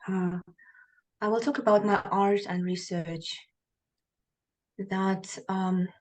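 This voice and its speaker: A woman's voice: mid-range voice, fairly even intonation, slow, a little mellow. A woman whose first language is Kazakh, from Kazakhstan, giving a conference presentation over a video call in a hesitant way, with many fillers and restarts. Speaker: artist presenting research.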